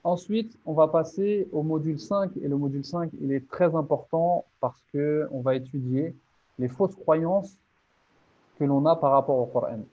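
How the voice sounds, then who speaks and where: A man with a fairly high voice presents his course in an earnest, encouraging way, laying out each step and often restarting a phrase mid-thought. The recording sounds a little dull and muffled. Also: normal speed. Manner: earnest, motivating, explains step by step with many restarts. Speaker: Islamic teacher presenting his online course.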